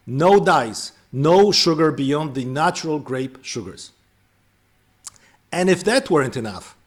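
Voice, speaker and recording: A man hosting and narrating a scripted explainer, breaking off into hesitant, filler-heavy asides. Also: fairly high pitch. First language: not French. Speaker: host.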